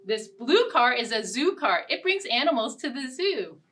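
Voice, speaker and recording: A young woman hosting a storytime for small children, speaking in simple, deliberate phrases. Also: sits high, sing-song. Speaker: children's library storytime host. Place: indoor storytime set. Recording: distant mic, ukulele music. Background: ukulele strumming.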